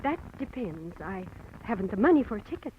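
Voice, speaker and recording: Actress playing an eager, scheming woman, lively old-radio voice. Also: mid-range voice, a little fast, close to an everyday voice. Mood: anxious, careful. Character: scheming, eager woman desperate to return to Paris. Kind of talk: 1940s radio drama acting. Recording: dull, noisy old radio broadcast.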